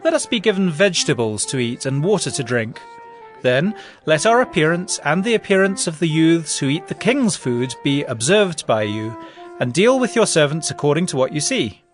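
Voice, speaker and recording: A man's voice: a little high, sing-song, moderate pace, soft background music. An actor playing an earnest, resolute young captive nobleman in a dramatized audio Bible, speaking politely.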